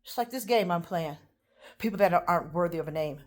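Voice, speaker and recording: A woman ranting in a rambling, profane monologue, swinging between loud outbursts and low muttering. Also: animated, fast talker. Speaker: vlogger.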